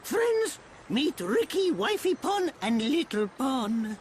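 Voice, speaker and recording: A tiny, excitable furball creature from a video game, extremely high and squeaky. He talks in childlike broken English at a slowish pace. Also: a little hiss or hum, exaggerated voice. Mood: cheerful, proud. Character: small cheerful forty-year-old male furball creature, childlike. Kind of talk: cartoonish video game acting.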